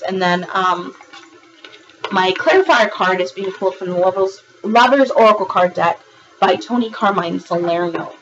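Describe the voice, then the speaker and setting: A woman with a hoarse, raspy voice from a recent virus, giving a monthly tarot reading in a rambling way, with frequent ums and restarts. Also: medium pitch, a bit bright, lo-fi sound, slight background noise. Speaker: tarot reader.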